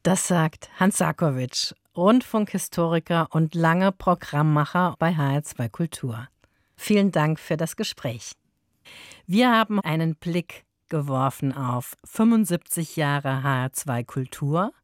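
Low, slow-spoken female radio host. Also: expressive, no room sound, clean studio sound. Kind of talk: radio interview presenting. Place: dry radio studio.

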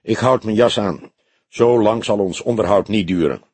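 A man voicing a grey-haired veteran police detective in an audiobook, old-fashioned, formal and deliberate, with a dry, probing way. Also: fairly low pitch, a little mellow, husky, dry recording. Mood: curt. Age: older.

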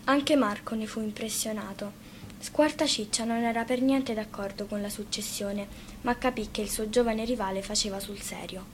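A woman's voice: medium pitch, fairly even intonation, moderate pace. A woman narrating a scripted fairy tale as a storyteller. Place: radio studio. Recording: faint background noise.

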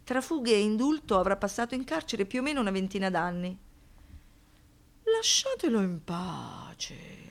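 A woman narrating a radio play in a measured, deliberate, scripted documentary manner. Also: mid-range voice, expressive.

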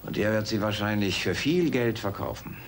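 A man playing an earnest, courteous middle-aged scientist in an audio drama, speaking in a measured, formal way. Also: lowish voice, a little hiss or hum, close to an everyday voice. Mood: grave, bitter. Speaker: actor in a German children's audio drama. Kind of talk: radio play acting.

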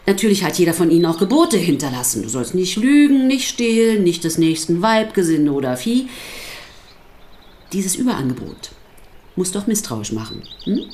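An actress playing a sceptical pastor's wife in a radio drama, dry, articulate and dismissive. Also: female voice, on the low side, darkish tone. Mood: sardonic, lecturing. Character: sceptical, sardonic pastor's wife under questioning. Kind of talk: naturalistic radio drama acting.

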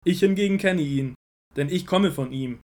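A man playing an earnest, commanding male teacher preaching in an audio drama, declamatory and deliberate. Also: high voice, a little mellow, close to an everyday voice. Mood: insistent.

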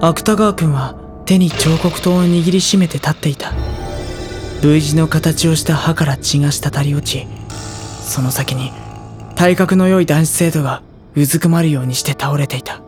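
Man playing a gentle, hesitant schoolboy. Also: fairly high pitch, close to an everyday voice. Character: gentle, hesitant, self-doubting high school boy. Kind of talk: audio drama dialogue and first-person narration.

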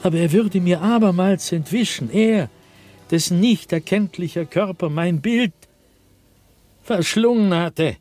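An actor playing a tormented, desperate man obsessed with an unseen being, in a theatrical, halting German radio drama monologue. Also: sits high, a little mellow, faint music behind. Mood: horrified. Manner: halting, repetitive, dramatic, with anguished emphasis.

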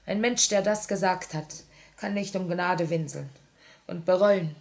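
An actress playing a grieving but resolute young revolutionary in a 1950s German radio play, solemn and theatrical. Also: female voice, lowish voice, unhurried, creaky, darkish tone. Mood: grave, resolute. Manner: theatrical, solemn, impassioned delivery. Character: grieving, resolute young woman revolutionary, torn between love and duty.

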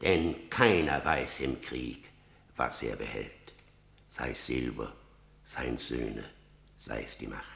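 An actor playing an old, grave, defiant seer in a radio verse drama, declaiming deliberately and probing as he goes. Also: rumbling low, creaky, a bit bright, full character voice. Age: older. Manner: declamatory, deliberate, swelling and dropping. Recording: roomy, reverberant radio play recording.

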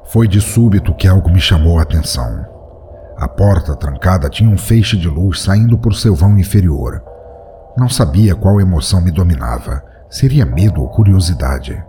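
Man, horror audio story narrator, low voice, mellow dark tone, measured and grave. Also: lively intonation, a little hiss or hum.

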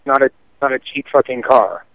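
A man's voice: medium pitch, normal speed, no room sound, phone-quality sound. A man on the receiving end of a prank call, talking bluntly and asking questions in an unscripted phone conversation.

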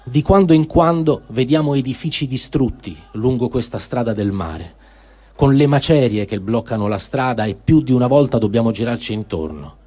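Husky man reading a diary aloud. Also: mid-range voice, expressive, moderate pace, warm tone. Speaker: reader.

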